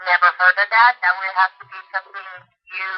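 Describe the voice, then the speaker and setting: A woman's voice: mid-range voice, steady tone, brisk, soft background music. Female hotline intake worker, earnest and persuasive, explaining options over a dull lo-fi telephone connection. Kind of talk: explanatory phone consultation. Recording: muffled lo-fi telephone line.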